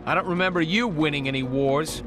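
An actor playing a defensive young American soldier, exasperated on a call home. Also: male voice, on the high side, a little fast, music in the background, lightly acted. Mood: defensive, frustrated.

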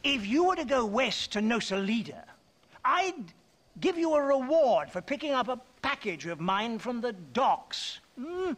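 An actor playing a dissolute, jovial middle-aged English trader in a Western video game, stammering and pompous, with a British accent. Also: male voice, very high-pitched, very animated, unhurried, a bit bright, full character voice. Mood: blustering, persuasive. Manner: blustering, stammering, pompous, tags phrases with "what?". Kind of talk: video game cutscene acting.

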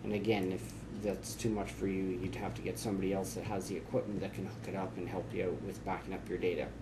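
Guy in his 40s explaining pc stuff to a webcam, lowish voice, some hiss behind him. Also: fast talker. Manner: relaxed, conversational, frequent "uh" fillers. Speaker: tech tips host. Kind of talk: casual how-to explanation to camera. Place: small office indoors.

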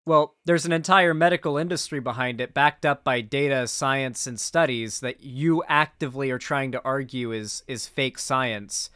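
A young man giving off-the-cuff political reaction commentary as a streamer, halting and repeating himself, sardonic and sweary. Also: mid-range voice, pushed and bright, clear, resonant tone, no echo, clean studio sound. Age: young adult. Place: indoor streaming room.

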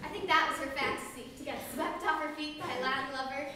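Woman, high bright voice, wry theatrical delivery, distant, echoey theatre. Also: fast, noisy background. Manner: wry, theatrical, switching into a fake French act. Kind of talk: stage musical, narrator then comic French-accented role. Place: school theatre stage.

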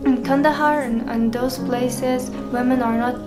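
A woman in her twenties with an Afghan accent, answering interview questions in careful, halting second-language speech. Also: high voice, steady tone. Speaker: interviewee, cyclist. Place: small carpeted room indoors. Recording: music behind indoor interview.

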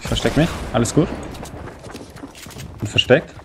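A man in his twenties co-streaming a game, excitedly calling out fights and reading out donations in casual chat. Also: mid-range voice, measured pace, creaky. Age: 20s. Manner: excitable, shouts at game action, reads donations aloud. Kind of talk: casual gaming livestream chat. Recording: noisy game sounds and gunfire behind.

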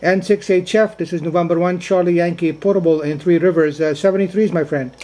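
High-voiced man on ham radio. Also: fairly even intonation, fairly quick, mellow. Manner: repeats key words, radio procedure phrases. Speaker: amateur radio operator, video maker. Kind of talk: ham radio contact, short spoken exchanges. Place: indoors in a cabin.

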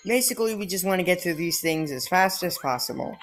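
A woman's voice: lowish voice, expressive, brisk, soft background music. Sarcastic woman commenting on gameplay. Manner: sarcastic, reads dialogue aloud. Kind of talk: casual let's play commentary.

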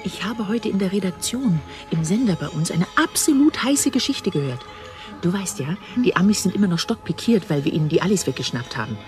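An actress playing a keen, gossipy newsroom journalist in a radio drama, confiding and colloquial. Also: female voice, fairly low pitch, expressive, fairly quick, a little mellow, soft background music, lightly acted. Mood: urgent, confiding.

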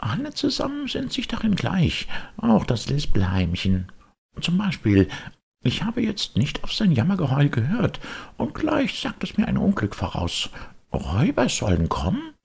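A man's voice: on the high side, huge pitch swings, unhurried, gravelly, darkish tone, breathy edge, pitched above the performer's own voice, heavily acted. A man voicing a stubborn wooden puppet boy, cocky, defiant and grumbling, in a storybook reading. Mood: boastful grumbling.

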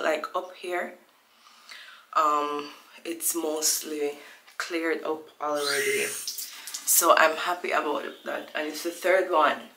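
A woman's voice: deep voice, sharp tone, roomy sound. A woman in her twenties from Jamaica, talking casually to the camera in a small room at home, chatty and rambling as she loses her train of thought. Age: 20s. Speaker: vlogger. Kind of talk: casual vlog chat to camera.

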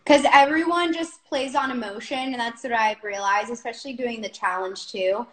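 Higher-voiced young woman in an echoey room. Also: moderate pace. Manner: candid, informal, swears. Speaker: interview guest, reality show contestant. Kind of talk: casual live chat.

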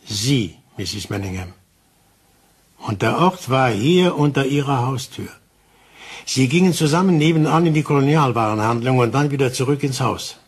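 An actor playing an older male detective in a German crime radio play, calm, insistent and explaining things deliberately with dramatic pauses. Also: mid-range voice, slightly rough. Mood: reflective, deliberate.

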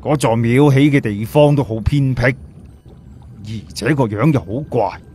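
An elderly Cantonese village chief played by a male storyteller speaks in a medium-pitched voice. He is earnest and proud, reminiscing plainly in an audio story. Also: unhurried, mellow, a little hiss or hum, close to an everyday voice. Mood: reminiscing, assured.

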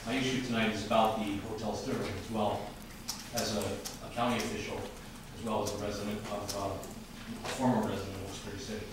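A man's voice: lowish voice, little pitch movement, fast. An older man with an American accent making an earnest, formal public comment to a city council as an official, repeating his phrasing for emphasis. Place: large indoor council chamber. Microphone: camera from across the room. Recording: distant, very echoey, noisy room recording.

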